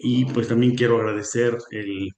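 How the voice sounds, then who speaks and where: A man's voice: mid-range voice, fairly even intonation, normal speed, darkish tone. A man giving an online conference lecture, hesitant with many fillers and a slightly sore throat. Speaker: guest lecturer on equine nutrition. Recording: roomy echo.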